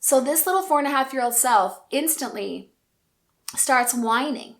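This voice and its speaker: A woman in her forties telling a personal story in an earnest, reflective and gentle way. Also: sits high, animated, moderate pace. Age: 40s. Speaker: speaker and coach.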